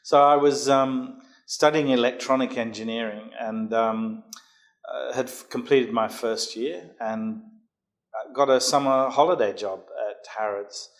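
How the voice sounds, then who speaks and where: Older man, unhurried and hesitant. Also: medium pitch, steady tone. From Jamaica. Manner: measured, pauses often with ums and uhs. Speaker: guest. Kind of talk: podcast interview, recounting his career.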